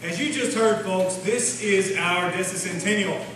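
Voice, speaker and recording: A man's voice: high voice, a little slow, background noise. A man playing a folksy small-town host of a comic radio revue, announcing the segments. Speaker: show host. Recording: very echoey stage, distant mic, music.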